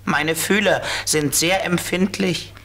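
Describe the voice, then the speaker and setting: A man voicing a fussy, excitable flying beetle who scolds, in a children's audio play. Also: high voice, takes it slowly, full character voice. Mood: anxious warning. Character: fussy, squealing, ticklish male flying beetle, child's companion.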